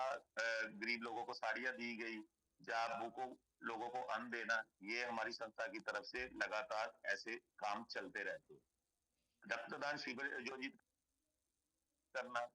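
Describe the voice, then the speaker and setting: Hoarse man pitching a donation scheme. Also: sharp tone, poor audio. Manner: persuasive, repetitive explaining. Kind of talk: sales pitch on a call.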